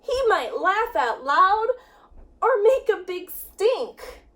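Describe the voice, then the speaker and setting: Animated high-pitched woman reading to children. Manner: expressive rhyming storytelling with dramatic pauses. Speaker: children's director reading a picture book. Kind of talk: children's story read-aloud. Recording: distant microphone.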